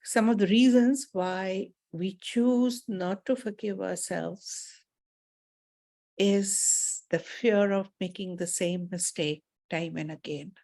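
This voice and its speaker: A woman leading a guided meditation and spiritual talk in a measured, soothing manner, pausing often and deliberately between phrases. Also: mid-range voice, steady tone, mellow.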